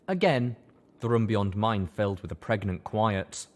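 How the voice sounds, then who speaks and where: A man narrating a first-person story aloud with expressive, scripted audiobook delivery. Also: lowish voice, measured pace.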